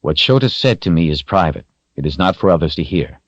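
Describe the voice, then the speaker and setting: A man's voice: medium pitch, expressive, normal speed, no echo, dull audio, pitched above the performer's own voice, natural voice. A man voicing a troubled young hero, his lines strained and rising into anguished outbursts. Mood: guarded.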